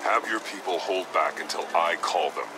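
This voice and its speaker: A man playing a grim, stern masked vigilante in a video game, terse and commanding with a gravelly voice. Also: sits low, moderate pace, music in the background, lightly acted. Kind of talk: video game character acting.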